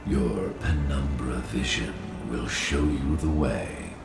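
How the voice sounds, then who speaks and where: A man playing an ancient nature spirit in a video game, a solemn, benevolent guide speaking formally and deliberately in a gravelly, echoing voice. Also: heavily acted. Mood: grave request. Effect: deep echoing reverb. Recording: echoing, distant voice under music.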